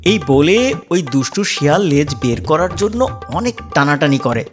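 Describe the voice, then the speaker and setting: A children's story narrator with lively, swooping intonation reads a scripted tale. Loud music plays behind the voice. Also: mid-range voice, moderate pace.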